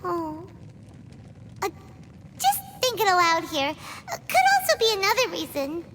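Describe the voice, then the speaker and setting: A woman's voice: very high voice, huge pitch swings, measured pace, a bit bright, a little hiss or hum, heavily acted. A woman voicing a tiny, chatty fairy-like girl companion in a video game, who talks about herself in the third person. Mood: musing.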